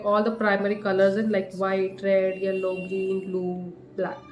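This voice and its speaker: A young woman with an Indian English accent, her voice a little groggy from a cough, chatting casually through a makeup review with plenty of fillers and restarts. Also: medium pitch. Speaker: beauty vlogger. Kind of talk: casual product review to camera. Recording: echoey room with faint music.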